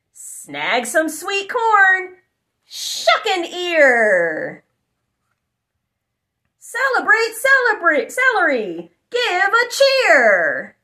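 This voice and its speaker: A young adult woman reading aloud for children in an exuberant, sing-song chanting way with drawn-out words. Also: very high-pitched, bright, forceful tone. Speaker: host of a children's read-aloud channel. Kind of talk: animated children's picture-book read-aloud. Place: small furnished room indoors.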